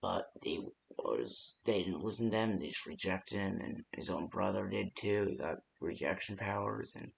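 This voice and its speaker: A man's voice: deep voice, normal speed, vocal fry, a little hiss or hum. A man giving casual, improvised phone-call voice lines for a game, hesitant and rambling with many ums. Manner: casual, unpolished delivery. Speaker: phone-call guide in a fan horror game.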